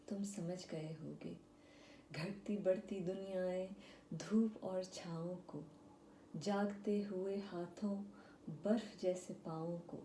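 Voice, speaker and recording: Slow, dark-voiced woman reciting poetry. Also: lowish voice. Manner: measured, deliberate phrasing with pauses. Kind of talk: dramatic Urdu-style poetry recitation. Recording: roomy echo, faint background noise.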